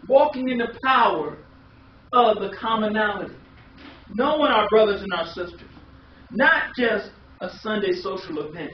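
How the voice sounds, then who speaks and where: Man, American accent, middle-aged, emphatic sermon, rhetorical questions, echoey and slightly dull distant recording. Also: high-pitched. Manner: emphatic, repeating phrases and asking rhetorical questions. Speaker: preacher. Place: small indoor room. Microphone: distant camera microphone. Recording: echoey, muffled, distant camera audio with hiss.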